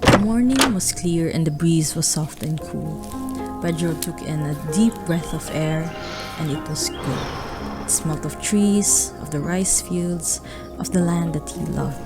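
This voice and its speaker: A woman's voice: sits low, unhurried, over music. A woman narrating a radio drama, reading a scripted story.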